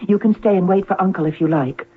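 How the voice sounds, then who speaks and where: A woman's voice: medium pitch, fast talker, natural voice. An actress playing a sweet, demure young female android in a 1970s radio drama. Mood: bright, polite. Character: young female android, sweet, compliant, artlessly charming fiancee. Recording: tinny, noisy old radio recording with hum.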